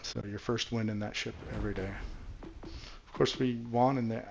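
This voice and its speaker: A man's voice: mid-range voice, steady tone, normal speed, gravelly, slight background noise, poor audio. A man from New Mexico giving chatty, self-deprecating commentary over his own gameplay as the host of a gaming channel.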